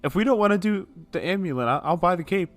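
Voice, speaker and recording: A man's voice: high-pitched, animated, fast talker, faint music behind. A man chatting and bantering casually as a player in a tabletop roleplaying game.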